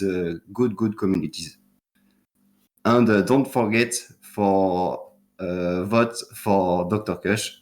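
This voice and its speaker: Low-voiced guy, slow halting english, lots of uhs, on a voice call. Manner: hesitant, many fillers, limited English. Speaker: notary node candidate. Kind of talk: halting self-introduction.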